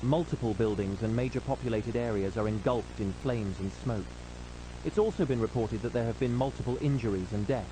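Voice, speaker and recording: A man's voice: medium pitch, brisk, background noise. A man reading a scripted emergency news announcement in a formal, solemn manner as a broadcast announcer.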